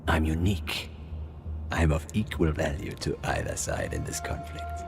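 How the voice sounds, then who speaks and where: A dying enemy captive in a dramatic game scene. The voice is low, sardonic and halting, full of smug fatalism. Music plays clearly behind him. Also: male voice. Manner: sardonic, halting, theatrically self-assured. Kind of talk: dramatic video game acting.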